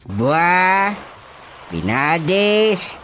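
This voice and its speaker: An actor playing a village chairman and folk healer giving ritual instructions in a radio drama, deliberate, with drawn-out hums and an Ilocano accent. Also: high-pitched, very animated, a bit bright, slight background noise, exaggerated voice, low-quality recording. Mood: instructing. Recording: faint music behind the voice.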